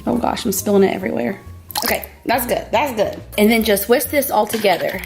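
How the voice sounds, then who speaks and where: Young woman, hoarse from a cold, chatty and upbeat, home-kitchen vlogger, soft music bed. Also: a little high, brisk. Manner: chatty, bubbly, peppered with "like". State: scratchy voice from a cold. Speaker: cooking vlogger. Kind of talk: casual cooking tutorial.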